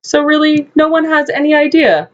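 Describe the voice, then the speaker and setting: A woman's voice: sits high, normal speed, highs cut off. A French-speaking woman co-hosting a casual chat, asking questions with frequent giggles.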